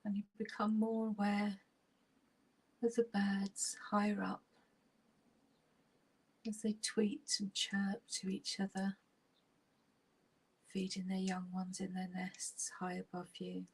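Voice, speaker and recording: A woman with a soft, breathy, near-whispering husky voice, leading a guided relaxation meditation in a soothing, unhurried way with long pauses. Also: medium pitch, monotone, low-quality recording.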